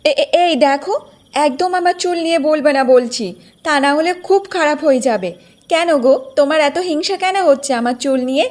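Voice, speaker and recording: A woman's voice: high voice, fairly quick, a little mellow, lightly acted. A woman voicing a vain, haughty young village wife in a cartoon folktale, boastful and scolding. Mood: indignant, scolding. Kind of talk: Bengali cartoon folktale acting.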